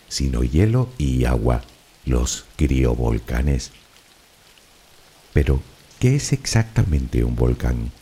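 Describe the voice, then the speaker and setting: Very deep, soft Canarian man. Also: husky. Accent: Canarian Spanish. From Canary Islands. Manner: soft, unhurried, soothing delivery. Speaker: sleep meditation narrator. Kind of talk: slow guided relaxation narration.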